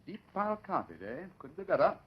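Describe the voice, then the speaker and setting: A haughty Victorian gentleman detective speaks with a very lively, swooping delivery. He is dry, pompous and exact, as in a vintage mystery drama. The recording is dull and lo-fi. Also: a little high, quick pace, husky, full character voice. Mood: keen, self-assured. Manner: dry, pompous, precise and formal. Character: arrogant, sharp-eyed Victorian English gentleman detective. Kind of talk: vintage radio mystery acting. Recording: dull, lo-fi old radio recording.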